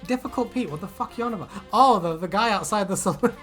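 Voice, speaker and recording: A woman's voice: medium pitch, expressive, quick pace, fairly bright tone, faint music behind. A woman chatting casually and jokily as a co-host, swearing freely and prone to giggling. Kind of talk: casual banter, reacting to fan comments.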